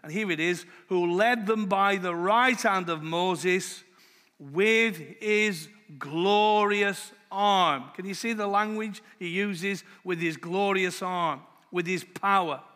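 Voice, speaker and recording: A man preaching a sermon in a loud voice, slowing down to read scripture with emphasis. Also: high voice, big-room sound.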